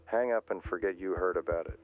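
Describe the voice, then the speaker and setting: Guarded middle-aged male official voiced by an actor, low, biting, cryptic delivery through a narrow phone filter. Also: a little fast, slight background noise. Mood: curt, guarded. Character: guarded, curt older male government wildlife supervisor. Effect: telephone filter. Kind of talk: audio drama dialogue. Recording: thin phone line, faint music.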